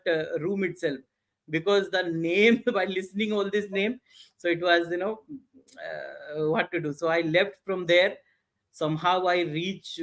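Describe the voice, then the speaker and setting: A middle-aged man from India with an Indian English accent, telling his business story in a halting, filler-heavy way. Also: a little high. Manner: halting, many "uh" fillers and restarts. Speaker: guest entrepreneur. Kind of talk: interview answers, casual storytelling.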